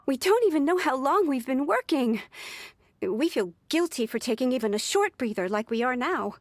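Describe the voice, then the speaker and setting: A woman's voice: high voice, animated, moderate pace, dry recording. A woman playing an earnest, anxious, overworked young secretary in a video game, speaking deferentially. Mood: weary. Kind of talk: scripted video game dialogue.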